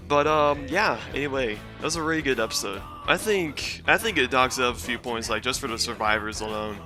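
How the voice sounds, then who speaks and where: A man hosting a casual commentary recap, talking in a rambling, hesitant and self-deprecating way. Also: medium pitch. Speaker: video host and reviewer.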